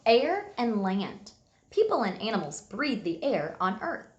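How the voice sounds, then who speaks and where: A woman teacher reading aloud and giving a simple lesson to young children, enunciating key words deliberately. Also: medium pitch, big pitch swings, lo-fi sound, slight background noise. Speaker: early elementary school teacher. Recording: echoey room sound.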